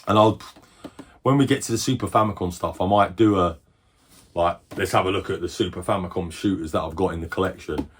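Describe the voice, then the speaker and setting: A middle-aged man casually showing off his game collection, rambling and correcting himself as he goes, a little hoarse. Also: deep voice, brisk, a little hiss or hum. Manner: rambling, chatty, self-correcting. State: losing his voice. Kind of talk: casual collection show-and-tell.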